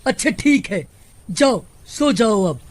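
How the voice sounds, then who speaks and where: A woman's voice: fairly high pitch, big pitch swings, slow, dry recording. A woman voicing a greedy, cowardly male village farmer in a Hindi cartoon, with a wheedling, exaggerated manner. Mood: dismissive.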